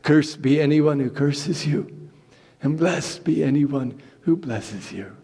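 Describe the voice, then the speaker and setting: A man playing a solemn old blind father who gives slow, weighty blessings in a dramatic scripture reading. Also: a little high, gravelly, dark tone, slightly rough, exaggerated voice. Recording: reverberant church hall.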